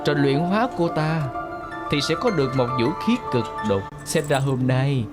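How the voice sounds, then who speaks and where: A man's voice: medium pitch, lively intonation, moderate pace, lightly acted. A man playing an arrogant, greedy, scheming clan leader in a fantasy drama dub, with a theatrical, sneering delivery. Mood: gloating, sneering. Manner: theatrical, menacing, sneering, gloating. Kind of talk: Vietnamese-style fantasy drama dubbing. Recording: voice over background music.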